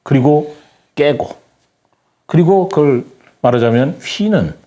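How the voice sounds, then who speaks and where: An older man speaking as an interview guest, giving his opinions earnestly in a deliberate, formal and polite way. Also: fairly high pitch, lively intonation, mellow.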